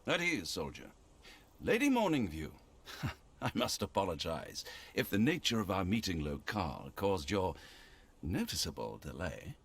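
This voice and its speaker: Low, sardonic nobleman commander. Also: huge pitch swings, unhurried, breathy edge, full character voice. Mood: courteous, teasing. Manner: urbane, sardonic, theatrical. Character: wry, world-weary young nobleman commander, eloquent schemer. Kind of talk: fantasy game cutscene acting. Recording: voice over loud background music.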